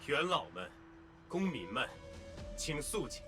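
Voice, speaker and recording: A man's voice: a little high, very animated, measured pace, over loud music. A man playing a formal senator who presides over an assembly in a video game, proclaiming ceremonially.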